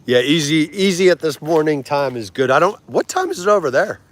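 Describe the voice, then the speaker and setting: A man's voice: fairly high pitch, sing-song. A man, hosting a casual livestream, laughing easily and chatting with viewers. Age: 40s. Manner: chatty, laughs easily, tries foreign words slowly. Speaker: livestream host.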